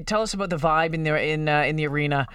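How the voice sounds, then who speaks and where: A man's voice: a little high, fast talker, a bit bright, smooth, no room sound, distant mic. A man co-hosting a casual interview, prompting a guest with chatty questions. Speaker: radio show host.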